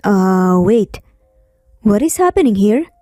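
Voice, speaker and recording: A woman's voice: mid-range voice, sing-song, slow pace, mellow, dry recording, studio quality, faint music behind. A woman voicing a breezy, curious man with a casual Filipino accent, mixing in English, in an audio story. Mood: confused. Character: breezy, curious male cousin and best friend.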